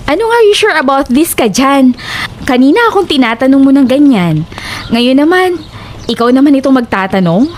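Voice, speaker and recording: A woman playing a bold, teasing young friend in a horror audio drama, brash and excitable. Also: sits high, moderate pace, slight background noise. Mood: excited, impatient.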